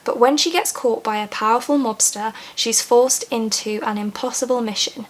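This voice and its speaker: A young woman chatting enthusiastically through a book box unboxing in a small room, reading blurbs aloud. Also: fairly high pitch. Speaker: book vlogger. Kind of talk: casual unboxing chat. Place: small room in front of bookshelves.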